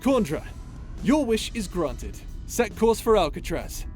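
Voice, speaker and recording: Man acting as an impassioned high-voiced space pirate captain. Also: very animated, measured pace, a little mellow. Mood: excited, resolute. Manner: dramatic, emotive, sighing. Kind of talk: audio roleplay acting. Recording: voice over background music.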